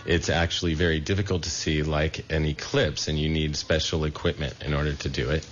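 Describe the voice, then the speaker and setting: A man hosting a radio talk show, interviewing a guest and explaining things in a measured way with pauses and fillers. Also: very deep voice, flat delivery. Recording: dull muffled broadcast with faint noise.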